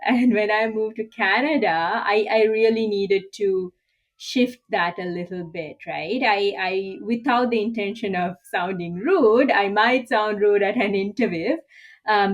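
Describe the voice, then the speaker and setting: A middle-aged woman from Sri Lanka, a guest executive coach answering interview questions in a candid, reflective way and often ending points with "right?". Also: mid-range voice.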